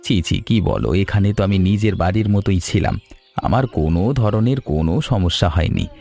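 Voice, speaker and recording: A man voicing a kind, grieving, grateful wanderer in an animated story, speaking in an earnest, humble way. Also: sits low, fairly quick, vocal fry, faint music behind. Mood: sorrowful, grateful. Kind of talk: Bengali animated story dialogue.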